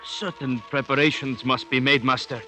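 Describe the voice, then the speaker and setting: A man's voice: mid-range voice, steady tone, normal speed, lightly acted. An actor playing a cautious, loyal native chief serving a king, speaking formally and respectfully in 1950s radio drama style. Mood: grave, pleading. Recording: vintage 1950s radio broadcast, loud music.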